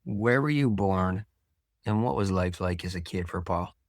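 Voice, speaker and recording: A man's voice: low-pitched, measured pace, no echo, clean studio sound. An American man hosting an interview podcast, introducing and questioning his guest in a conversational style. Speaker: podcast host.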